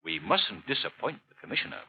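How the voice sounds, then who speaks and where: An actor playing a suave, observant gentleman detective in a 1930s radio drama, speaking with polished, courteous deliberation on a muffled old broadcast. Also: medium pitch, very animated, fast talker, loud music behind the voice. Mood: calm, observant.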